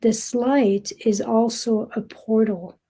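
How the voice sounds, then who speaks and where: A woman guiding a meditation in a soft, breathy, gentle voice, speaking deliberately with long pauses. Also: a little high, steady tone. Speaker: meditation guide. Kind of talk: guided meditation, slow soothing narration.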